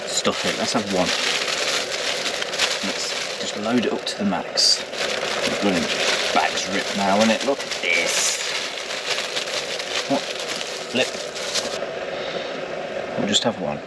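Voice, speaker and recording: A man's voice: low voice, slow pace, pauses often. A man in his forties with an English accent, chatting casually to viewers while cooking breakfast in a camper van. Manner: chatty, self-mocking asides to viewers. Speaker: vanlife vlogger. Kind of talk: casual cooking vlog narration. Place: inside a small camper van. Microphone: camera mic across a small table. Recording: distant mic with loud background noise.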